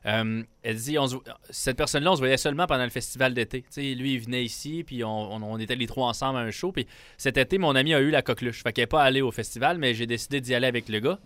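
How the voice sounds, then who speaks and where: A man's voice: medium pitch, racing, a bit bright, no echo. A man with a Quebec French accent casually relaying listener messages as a radio co-host. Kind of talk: casual chat reading listener texts.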